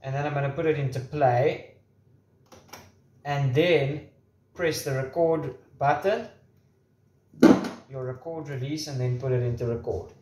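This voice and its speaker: A man's voice: mid-range voice, steady tone, measured pace, long pauses, background noise. A man in his twenties presenting a casual, informal how-to demonstration with wry asides, recorded on a camera microphone. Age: 20s. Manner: informal, wry joking asides and mild swearing. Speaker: tutorial presenter. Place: small room at home, indoors. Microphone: camera's built-in microphone at varying distance.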